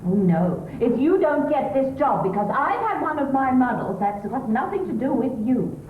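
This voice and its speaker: Posh, fluttery English wife played by a woman, stage comedy, very animated, needling and exclamatory; tinny, roomy recording. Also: sits high, moderate pace, a little hiss or hum. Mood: flustered. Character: chattering middle-class English wife, anxious, fluttery, needling her husband. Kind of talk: theatrical stage comedy acting. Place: theatre stage.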